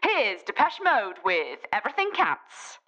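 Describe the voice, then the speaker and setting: A woman's voice: medium pitch, huge pitch swings, a little slow. A woman playing a perky radio DJ in a radio play, heard in muffled lo-fi radio sound. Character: perky female radio DJ for accountants. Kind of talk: parody radio announcing.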